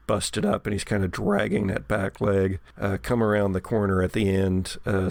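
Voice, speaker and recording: A man's voice: deep voice, gravelly, no echo. A man running a horror tabletop game, improvising vivid narration with conversational asides. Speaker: game master.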